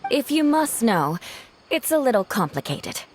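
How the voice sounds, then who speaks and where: A woman's voice: fairly high pitch, sing-song, measured pace, slight background noise. A woman playing a proud, cool, dutiful noblewoman knight in a video game, with a formal, haughty manner. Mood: serious. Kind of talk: video game cutscene acting.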